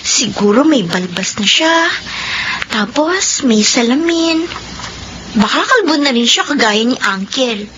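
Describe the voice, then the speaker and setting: A high, girlish daughter speaks with swooping expression, eager and full of longing. It is Filipino radio drama, on a muffled recording with clear background noise. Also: expressive, slow. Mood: wistful. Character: Mira, eager honor-student daughter longing for her dead father.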